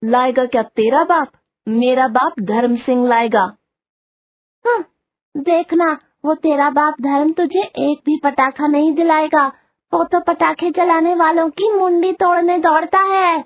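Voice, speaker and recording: A woman's voice: high voice, a little slow. A woman voicing an eager young village boy in a cartoon moral story, with a childish, pleading manner. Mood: cheeky.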